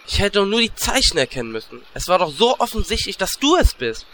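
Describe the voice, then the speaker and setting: Bright, brash little gnome warlock played by a man. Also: high-pitched, big pitch swings, quick pace, slight background noise, full character voice. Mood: indignant. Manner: brash, teasing. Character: cheeky fearless little male gnome warlock. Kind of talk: parody fantasy audio drama acting.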